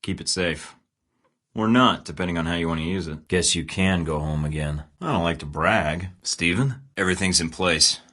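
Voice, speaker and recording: A cocky young secret agent from a video game, tossing off dry sarcastic one-liners. The voice sits low, deep in the chest, and the read is close and dead dry with no room around it. He sounds unbothered, a wisecracking spy. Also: normal speed, bright, forceful tone, dry recording. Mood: wry. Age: young adult. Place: recording studio booth. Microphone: close studio mic.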